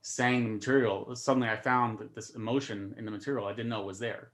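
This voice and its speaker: Comedian guy on a video call, talks fast, keeps repeating words as he starts. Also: on the low side, fairly even intonation, a bit bright. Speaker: comedian guest. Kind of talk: casual chat on video call.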